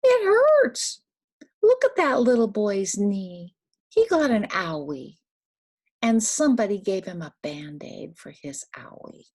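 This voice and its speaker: Older woman, husky, animated, reading to toddlers. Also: mid-range voice, slow. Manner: simple, instructive, asking the child questions and giving prompts. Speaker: storyteller for young children. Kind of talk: interactive picture-book read-aloud for toddlers.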